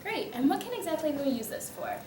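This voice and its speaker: A woman's voice: high voice, big pitch swings, fairly quick. A woman playing the host in a scripted mock TV news interview. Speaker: news interviewer in a readers theater skit. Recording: echoey room, distant mic, background noise.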